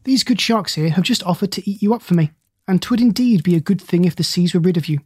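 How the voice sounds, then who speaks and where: A woman voicing a kindly but stern male animal doctor in a children's storybook, speaking in measured, polite commands. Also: fairly low pitch, animated, darkish tone, breathy edge, no room sound, studio quality.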